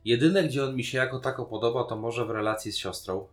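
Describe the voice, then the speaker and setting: A man's voice: medium pitch, fast, faint music behind. A man co-hosting a podcast in casual chat, musing and colloquial as he talks. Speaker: podcast co-host. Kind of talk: casual chat, film and series discussion.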